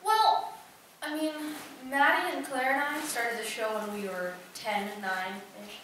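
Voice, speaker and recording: A young woman speaks on a convention panel, giggling and hedging as she looks for her words. Her voice sits a bit high, caught from a distance in a big echoey hall. Room noise carries on behind her. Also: measured pace, a bit bright. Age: young adult. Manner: giggly, hesitant. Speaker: voice actress on a panel. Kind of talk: casual panel chat. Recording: echoey hall, far from the mic.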